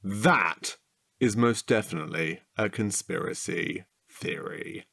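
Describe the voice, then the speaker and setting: A man acting a chatty, curious night-watch guard in a naturalistic audio drama, husky and halting in a playful way. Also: low-pitched, a bit bright, dry recording, studio quality, exaggerated voice. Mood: amused.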